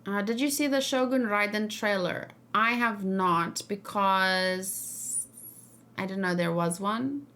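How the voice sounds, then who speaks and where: A woman's voice: medium pitch, slow, bright, forceful tone. A woman streamer talking casually about a game, musing informally and thinking aloud as she goes. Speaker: streamer and reaction host. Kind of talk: casual livestream game discussion.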